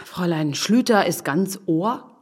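A woman playing a sardonic, quick-witted married city woman in an audio drama, with a wry, teasing and theatrical delivery. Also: lowish voice, expressive, breathy edge. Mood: brisk, wry.

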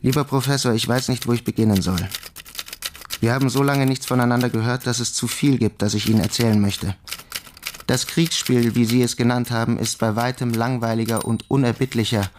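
An actor playing an earnest army lieutenant reading out his letter in a radio drama, measured and confiding. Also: mid-range voice, fairly even intonation, lightly acted. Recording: clearly noisy, clicking typewriter-like sounds.

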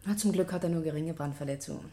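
A woman's voice: low voice, racing, mellow, lightly acted. A woman playing a concerned, obliging wife in a radio crime drama, matter-of-fact and caring. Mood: earnest.

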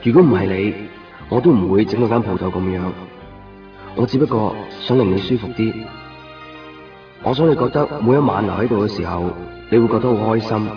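A male actor plays a melancholy man pining for a lost woman, with a lowish voice and a Hong Kong Cantonese accent. He speaks wistfully, as in a nineties radio drama, a little distant from the mic with music underneath. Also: brisk, long pauses, lo-fi sound, close to an everyday voice. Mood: wistful longing. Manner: wistful, introspective. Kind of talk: radio drama monologue and narration.

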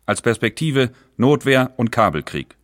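An actor playing a cautious 19th-century businessman, reading a letter of advice in a measured, earnest way for an audio documentary. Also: medium pitch, very animated, no echo, clean studio sound. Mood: concerned.

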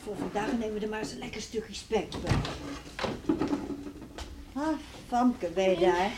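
A woman's voice: medium pitch, close to an everyday voice. An actress in a radio play playing a caring middle-aged farmer's wife and mother, with a soothing, practical manner. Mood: homely, busy. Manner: soothing, homely, measured. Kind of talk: Dutch radio play acting. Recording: noisy old radio play recording.

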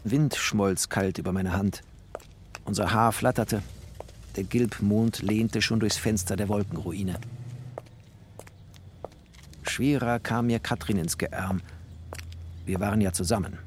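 A man's voice: lowish voice, slow pace, pauses often, slight background noise. An actor playing a bookish, wry, tender poor writer who narrates his life in clipped, poetic phrases in a radio play. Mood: observant, lyrical. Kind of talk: literary first-person radio play narration.

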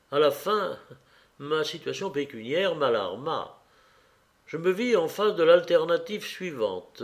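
A man reading a first-person story aloud as an audiobook in a calm, even storytelling manner. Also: fairly high pitch. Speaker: audiobook reader.

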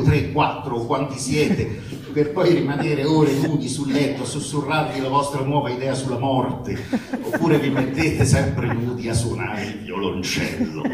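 A man's voice: mid-range voice, a little mellow. Echoey, distant man reading wryly. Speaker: reader at a live book reading. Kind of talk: comic dramatic reading of a humorous letter. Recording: very echoey room, distant mic, faint noise.

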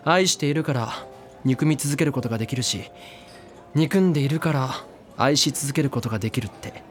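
A man's voice: mid-range voice, a little fast, lightly acted. An actor in a drama CD playing a reckless, thrill-seeking young man who talks in slangy, clipped casual speech. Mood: musing. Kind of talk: drama CD acting. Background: background music.

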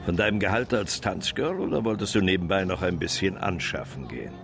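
An actor playing a blunt, mocking, threatening police detective in a hard-boiled crime radio play. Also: male voice, sits low, very animated, brisk, vocal fry, noisy background, heavily acted. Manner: taunting, blunt.

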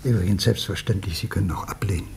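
Radio drama role: humble elderly father, soft-toned gravelly voice, pleading, hesitant and apologetic. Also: deep voice, fast talker, a little hiss or hum. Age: older. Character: humble, anxious old father, devoted and pleading. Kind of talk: dramatic German radio play acting.

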